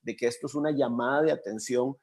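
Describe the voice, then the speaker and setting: A man with a Costa Rican accent hosts a conversational interview. He thinks aloud, pausing and restarting as he searches for words. His voice sits at an ordinary middle pitch. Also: darkish tone. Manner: reflective, halting, searches for words. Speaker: interview host.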